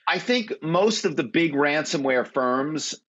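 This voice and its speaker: A man's voice: a little high, expressive, a little slow, fairly bright tone. Man explaining, slightly dull audio. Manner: explanatory, uses phone-call anecdotes. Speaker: guest, former corporate spy. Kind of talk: conversational interview.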